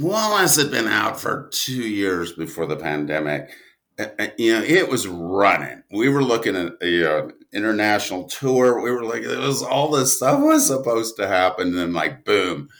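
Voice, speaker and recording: A man speaking casually as an interview guest, telling anecdotes in halting, thoughtful phrases. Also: on the low side, fairly bright tone. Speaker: writer and producer, interview guest.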